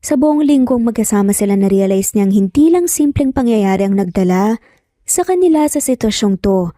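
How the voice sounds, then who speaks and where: A woman's voice: medium pitch, animated, normal speed, warm tone, no echo, studio quality. A woman narrating a romance story, acting out the dialogue as she goes. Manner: acts out dialogue between narrated lines. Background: background music.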